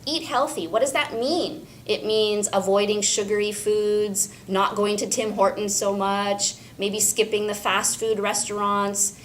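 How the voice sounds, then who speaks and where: A woman English instructor clearly explaining a practical tip on speaking tests. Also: medium pitch, steady tone, a little slow, few pauses, a little hiss or hum. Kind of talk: teaching tip for a school video.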